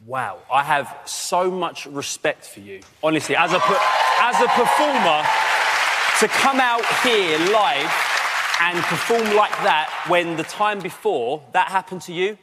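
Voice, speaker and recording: A man's voice: high voice, lively intonation, unhurried. A man judging a live competition, speaking earnestly and emphatically to a contestant. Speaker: skating competition judge. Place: large TV ice-show studio. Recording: noisy studio with loud crowd. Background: loud audience.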